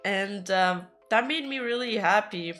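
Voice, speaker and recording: A hoarse woman streamer chatting casually and rambling with her viewers, laughing easily. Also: medium pitch, measured pace, a bit bright, faint music behind. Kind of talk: casual livestream chat with viewers.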